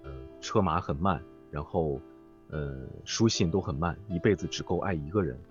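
A man's voice: very deep voice, moderate pace, lo-fi sound, faint music behind. A man speaking as a voice actor guest in an interview, reflective and hesitant as he searches for words. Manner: hesitant, thoughtful phrasing.

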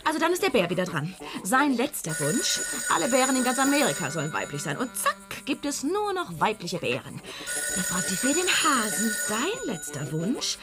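A sardonic, fed-up wife in a radio drama. Her voice sits fairly high and moves in lively swoops, with biting, quietly defiant delivery. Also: normal speed, music in the background. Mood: sardonic storytelling. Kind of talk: German radio play acting.

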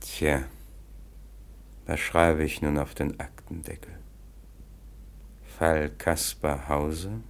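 A man's voice: very deep voice, level tone, takes it slowly, gravelly, long pauses, exaggerated voice. An actor playing a stern male police commissioner interrogating a strange foundling in a radio play. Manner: brusque, commanding interrogator. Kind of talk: 1950s-style radio play acting.

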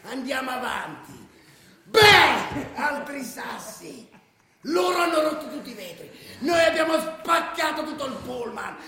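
An actor playing a wild, excitable football ultra who gleefully recounts brawls in a radio play. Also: male voice, very high-pitched, unhurried, heavily acted. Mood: frenzied glee. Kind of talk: dramatised first-person narration, radio play. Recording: very echoey room.

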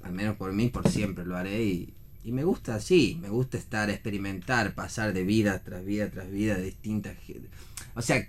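A man with an Argentine accent speaks in a fairly low voice. He is a stage actor in a relaxed panel discussion, earnest and halting, often repeating a word while he finds the next. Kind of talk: casual radio discussion about theater.

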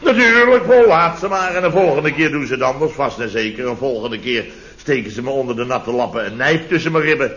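An actor playing a blustering, sarcastic contractor boss in a radio comedy, theatrical and full of rhetorical tags. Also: male voice, medium pitch, brisk, hardly any pauses, fairly bright tone, a little hiss or hum, lightly acted. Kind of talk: late-1960s Dutch radio comedy acting. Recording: muffled vintage radio recording, audience behind.

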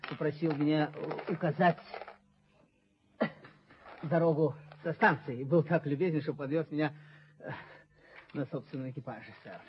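An actor as a hesitant, servile, higher-voiced underling. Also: male voice, normal speed, pauses often, natural voice. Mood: obsequious. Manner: hesitant, deferential. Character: timid, servile, downtrodden rent collector, fawning and hesitant. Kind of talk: radio play acting. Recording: dull, noisy 1970s radio recording.

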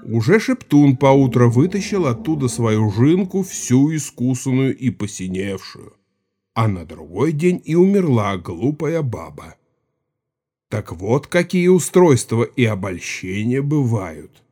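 An actor playing a gruff, gray-haired village Cossack who spins folk tales in a rambling, colloquial, self-satisfied way in an audio drama. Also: male voice, mid-range voice, sing-song, warm tone. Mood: grim, scornful. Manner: rambling, unhurried, colloquial, savoring the tale.

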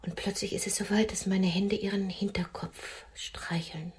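An actress as a lonely woman getting on in years, nervous and melodramatic, in a radio play. Her voice sits at an ordinary female pitch and slips into breathy whispers as she delivers theatrical romantic pleas. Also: flat delivery, moderate pace, a little mellow, natural voice. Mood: seductive. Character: nervous, lonely aging woman rehearsing romantic lines for blind date. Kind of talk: radio drama acting.

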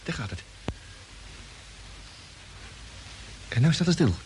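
A man's voice: a little high, very animated, rapid-fire, darkish tone, rough recording. An eager, curious crewman in a vintage space radio serial. His intonation jumps around with lots of life, and he stumbles over words as he questions. A light layer of noise sits on the old recording. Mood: watchful. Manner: hesitant, stammering, questioning. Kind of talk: mid-century radio drama acting.

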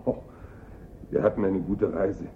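An actor playing a nervous, shifty small-time Italian art thief in a German radio drama, halting, guarded and stubborn. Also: male voice, steady tone, a little hiss or hum. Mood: nervous, polite. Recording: dull, echoey, rumbling radio recording.

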